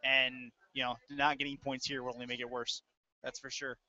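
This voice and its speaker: A man's voice: medium pitch, level tone, moderate pace, sharp tone. A man calling live American football play-by-play in a conversational way, as a sports announcer. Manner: conversational between plays. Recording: dull lo-fi audio stream.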